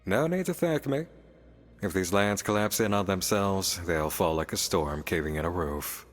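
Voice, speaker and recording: An actor playing a sly, playful demon in a visual novel, teasing, cryptic and theatrical. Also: male voice, low-pitched, normal speed, close to an everyday voice. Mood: sly, composed. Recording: long reverb, music behind.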